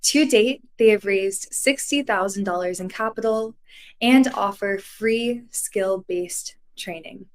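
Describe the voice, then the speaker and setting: Woman host reading intros, clear and formal. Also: medium pitch. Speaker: event host. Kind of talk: scripted introductions.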